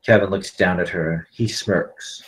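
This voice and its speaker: A man's voice: low-pitched, steady tone, measured pace, rough recording. A man narrating the stage directions of a film script at a table read, in scripted present-tense narration.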